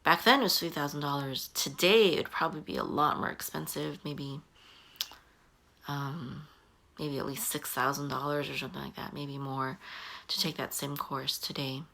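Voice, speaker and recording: Low-voiced woman in her forties, halting. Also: steady tone, gravelly, sharp tone. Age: 40s. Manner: halting, many ums and restarts. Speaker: online business coach. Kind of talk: casual talking-head advice.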